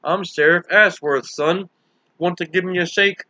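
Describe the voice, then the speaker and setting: A man's voice: high-pitched, steady tone, a little slow, bright, forceful tone, no room sound, dull audio. Man reading as a folksy, skeptical older sheriff. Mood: firm. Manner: folksy, dry, takes charge. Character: older heavyset small-town sheriff, skeptical and commanding. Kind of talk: audiobook character voice.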